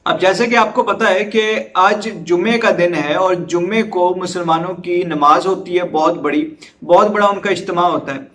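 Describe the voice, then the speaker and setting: A man's voice: high voice, steady tone, moderate pace, fairly bright tone. A Pakistani man presenting news commentary in an earnest, urgent manner, dropping in English words and appealing directly to viewers. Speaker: presenter of a news and discussion channel.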